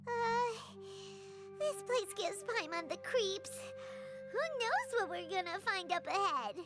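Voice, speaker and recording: Casting: small floating fairy companion, squeaky childlike pitch, animated and jumpy, anime game. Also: female voice, slow, heavily acted. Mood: uneasy, curious. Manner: refers to self in third person. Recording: music behind the voice.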